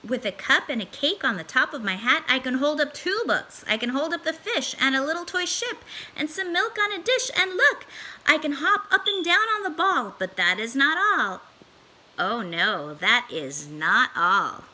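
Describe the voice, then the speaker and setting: A woman's voice: high voice, lively intonation, normal speed, pushed and bright, full character voice. A woman voicing a playful, mischievous male cat from a storybook, showy, eager and boastful. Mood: boastful, excited.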